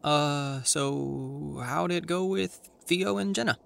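An actor playing an anxious, awkward teenage boy in an audio drama, with a halting, dry, naturalistic delivery. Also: on the high side, creaky, fairly bright tone, exaggerated voice. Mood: panicked. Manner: halting, dry, self-conscious.

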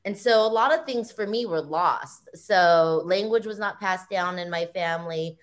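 A woman's voice: fairly low pitch, moderate pace. A woman with an American accent chatting casually as an interview guest, giggly and self-deprecating about herself. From United States. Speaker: interview guest, picture book illustrator. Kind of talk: casual chat.

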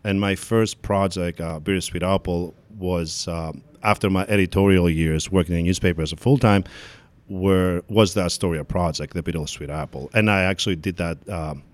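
A man in his forties from Greece with a Greek accent, a photographer talking in a casual interview, halting and often trailing off. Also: sits low, fairly even intonation, no room sound. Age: 40s. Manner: halting, restarts sentences, trails off quietly. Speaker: guest photographer.

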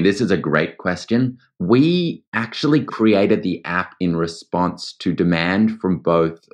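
A man with an Australian accent, a founder giving fluent, polished answers as a podcast guest. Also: deep voice. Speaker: legal tech founder, guest.

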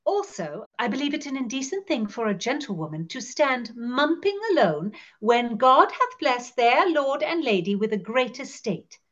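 A woman playing a haughty, playful Tudor gentlewoman who speaks in an arch, theatrical courtly manner for a podcast. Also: fairly high pitch, big pitch swings, moderate pace, hardly any pauses, muffled recording. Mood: imperious. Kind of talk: in-character podcast monologue.

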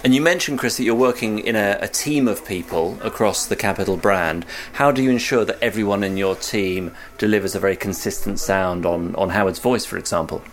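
A man hosting a radio industry podcast, asking guests measured, direct questions. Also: fairly low pitch. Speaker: podcast host. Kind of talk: interviewing guests.

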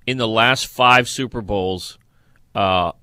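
A man hosting a sports podcast, talking football in a halting way with repeated words. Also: lowish voice, bright, forceful tone, clean tone, no room sound, studio quality. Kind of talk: football discussion.

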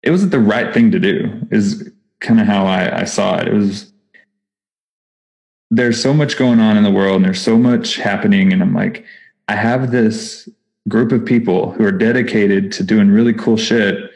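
Texas guy being interviewed, kinda low voice, excited and rambly, says um a lot. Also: moderate pace, poor audio. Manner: enthusiastic, chatty, frequent fillers and restarts. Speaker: nonprofit founder, podcast guest. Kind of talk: casual interview talk.

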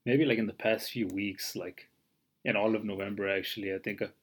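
A man's voice: on the low side, steady tone, a little fast. A man in his twenties hosting his own podcast, talking casually and rambling with lots of fillers and some swearing. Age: 20s. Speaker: podcast host. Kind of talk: casual solo podcast monologue.

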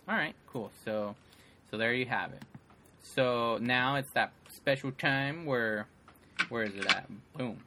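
A man co-hosts a casual podcast in a bright, forward voice. He rambles loosely, piling up fillers and restarting his sentences as he talks. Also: mid-range voice, a little hiss or hum. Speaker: podcast co-host. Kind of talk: casual chat.

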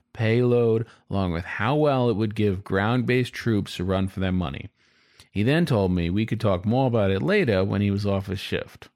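A man's voice: medium pitch, creaky, dry recording, clean studio sound. A man voicing a cheeky, lustful young male fox who tells his own story in a chatty, wry, confiding way, as in an audiobook. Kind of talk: first-person audiobook storytelling.

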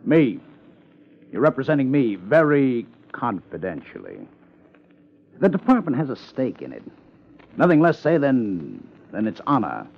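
An actor playing an insistent, oratorical police lieutenant in a 1950s radio crime drama, emphatic and theatrical. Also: male voice, mid-range voice, huge pitch swings, a little slow, creaky, pauses often, a little hiss or hum, full character voice. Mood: indignant, oratorical. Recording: dull vintage 1950s radio recording.

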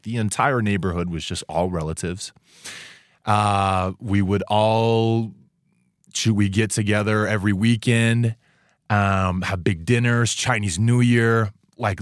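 Low-voiced young man from Taiwan. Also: dry recording, studio quality. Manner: relaxed, chatty, many fillers and restarts. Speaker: student guest. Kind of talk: casual interview chat. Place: radio studio.

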